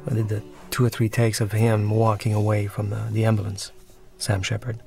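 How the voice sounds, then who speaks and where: A man, the film's director, talking through a commentary track and often pausing and restarting as he searches for words. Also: lowish voice, completely flat delivery, creaky, a little mellow, soft background music. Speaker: film director.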